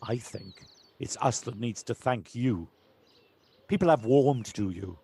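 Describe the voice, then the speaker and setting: A man in an audio play acting a kindly host with gentle, attentive phrasing and a gravelly voice. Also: mid-range voice, measured pace. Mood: gentle, grateful. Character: kindly, solicitous male host seeing a guest off. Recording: home recording, faint noise, birdsong.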